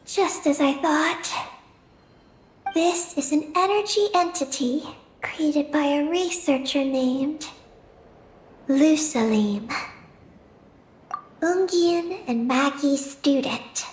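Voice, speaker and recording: A woman playing a small, calm, knowing girl in a video game, speaking in a measured, halting, matter-of-fact way. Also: sits high, reverberant, slight background noise, rough recording. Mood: solemn, explanatory.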